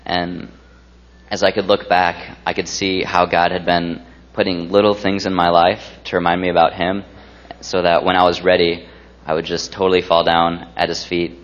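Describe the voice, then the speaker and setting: A man with a very low, flat, steady voice gives an earnest, fervent testimony. The sound is muffled, with a long hall reverb. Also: normal speed. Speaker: young believer sharing a testimony. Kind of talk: church group testimony.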